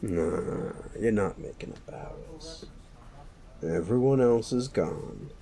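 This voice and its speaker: A man playing a sleazy, bullying werewolf boss in an audio roleplay, sardonic and taunting, with a gruff flirtatious streak. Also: fairly low pitch, big pitch swings, slow, dark tone, heavily acted. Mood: probing. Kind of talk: scripted audio roleplay addressed to the listener.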